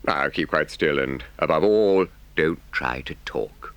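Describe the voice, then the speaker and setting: A man voicing a genteel, indulgent gentleman motorist in a storybook, brisk and approving in an old-fashioned polite way. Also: extremely low pitch, animated, dry recording, muffled recording, voice pushed down, heavily acted. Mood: kindly.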